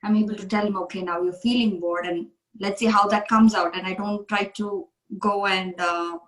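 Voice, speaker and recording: A woman's voice: medium pitch, steady tone, moderate pace, a bit bright, reverberant, poor audio. A woman from India hosting a casual interview, speaking earnestly and asking questions. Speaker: coach, interview host.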